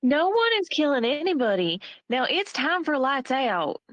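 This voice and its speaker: A woman's voice: sits high, sing-song, normal speed, no room sound, lo-fi sound. A woman playing a firm, no-nonsense hospital nurse in a dramatic table read.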